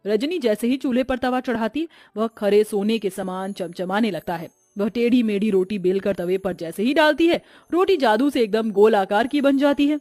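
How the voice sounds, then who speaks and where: High-pitched woman narrating a cartoon story. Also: moderate pace, hardly any pauses, a little mellow, no echo, faint music behind.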